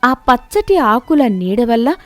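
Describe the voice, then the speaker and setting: A woman storyteller narrates a scripted moral tale. Her voice is on the high side, and the recording is close and dry. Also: sing-song, takes it slowly, no echo.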